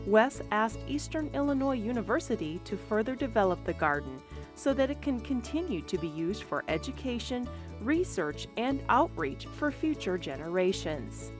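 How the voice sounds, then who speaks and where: Lively female TV narrator. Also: a little high, normal speed, music in the background. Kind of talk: scripted narration.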